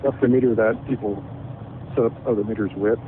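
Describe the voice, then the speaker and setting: A calm, low-key man explaining things haltingly in a casual phone conversation. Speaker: CB radio shop technician. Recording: tinny phone line, faint background noise.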